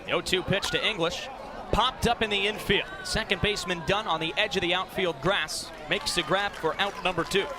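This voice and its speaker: A young man calling live sports play-by-play for radio in crisp, clipped announcer phrasing. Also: sits high, steady tone, moderate pace. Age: young adult. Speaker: play-by-play broadcaster. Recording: live sports broadcast with venue background noise.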